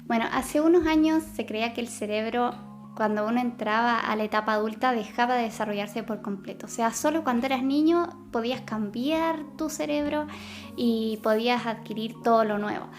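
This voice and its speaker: A woman's voice: a little high, normal speed, faint music behind. A woman with a Chilean accent explaining brain science in a casual vlog, chatty and full of fillers and restarts. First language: Spanish. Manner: chatty, many fillers and self-corrections. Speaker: science vlogger.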